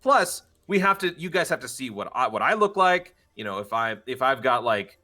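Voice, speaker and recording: A man's voice: medium pitch, very animated, moderate pace, bright, forceful tone, faint music behind. A young man, a voice actor guest on a podcast, chatting casually in a playful, self-deprecating way.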